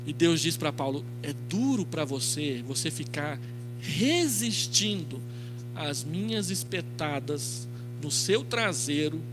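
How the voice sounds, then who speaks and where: A man's voice: on the high side, animated, slow, over music. A middle-aged man with a Brazilian accent preaching an expository sermon into a handheld microphone, telling stories with quoted dialogue and rhetorical questions. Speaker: pastor. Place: church stage, indoors. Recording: clearly noisy with mains hum.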